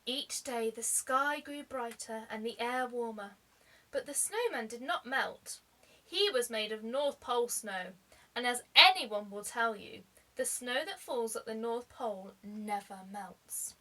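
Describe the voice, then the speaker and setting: A woman reading a children's story aloud like a teacher, expressively, with deliberate dramatic emphasis. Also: a little high, pushed and bright, rough recording.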